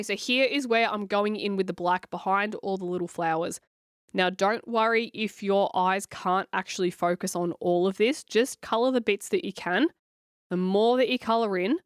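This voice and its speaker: Hoarse woman, dry close voice. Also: medium pitch, dry recording. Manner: chatty, encouraging, reassuring. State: hoarse from a cold, scratchy. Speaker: coloring channel host. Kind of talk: voice-over coloring tutorial.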